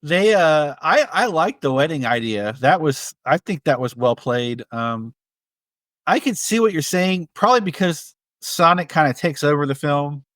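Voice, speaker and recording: A man co-hosting a casual film discussion, speaking with measured, tentative phrasing. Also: fairly high pitch, expressive, fairly bright tone, dry recording. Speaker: podcast co-host.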